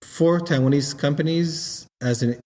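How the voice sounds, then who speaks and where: A man in his fifties, originally from Israel and not an English speaker, who talks in a halting, casual way, often repeating words. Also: fairly high pitch, dark tone. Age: 50s. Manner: halting, repeats words while searching for them. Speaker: winemaker guest. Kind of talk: casual interview. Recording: long hall reverb.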